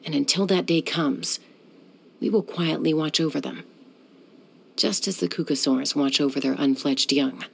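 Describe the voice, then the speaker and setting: An actress voicing a young warrior woman who is a peacemaker, speaking in a calm, measured way with a dry, teasing edge. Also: low voice, vocal fry, breathy edge, heavily acted. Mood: resolute. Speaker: game character. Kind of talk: game voice lines.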